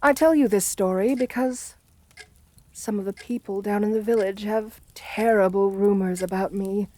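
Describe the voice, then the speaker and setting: A woman's voice: mid-range voice, steady tone, moderate pace, warm tone, natural voice. Woman acting a seductive, genteel vampire countess. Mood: genteel, coaxing. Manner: flirtatious, soothing, genteel. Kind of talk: intimate ASMR roleplay.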